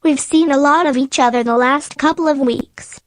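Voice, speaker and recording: A woman's voice: high voice, moderate pace, natural voice. A woman playing a practical, skeptical woman in a radio play. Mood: reproachful.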